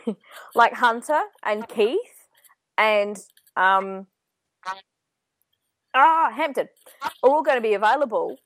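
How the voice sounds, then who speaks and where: An Australian woman co-hosting a casual football chat, her voice slightly croaky, talking in a joking, chatty way. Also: on the high side, slow pace, long pauses, a bit bright, dry recording. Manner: chatty, joking, laughs often. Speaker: podcast co-host.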